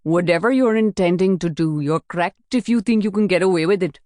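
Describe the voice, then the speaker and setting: A woman's voice: on the low side, moderate pace, dark tone, dry recording, studio quality, natural voice. A woman voicing a defiant male police officer, indignant and pleading with his captors.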